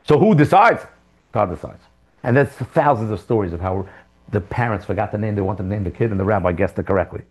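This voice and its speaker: Animated man teaching a Torah class. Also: quick pace. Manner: animated, asks rhetorical questions, sudden jumps in pitch and loudness. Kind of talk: lively Torah class lecture. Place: classroom with students.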